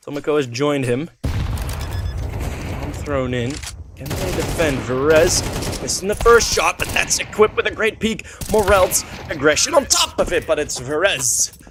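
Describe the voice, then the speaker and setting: A young man whose first language is Dutch, speaking with a Dutch accent as he gives relaxed play-by-play commentary, thinking aloud with many fillers and restarts. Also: on the high side, measured pace, background noise. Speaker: esports caster.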